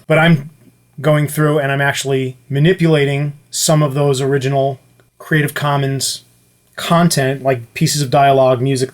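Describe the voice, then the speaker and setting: Casual man explaining studio gear. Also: mid-range voice. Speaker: music producer demonstrating gear. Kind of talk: casual explanatory tutorial talk.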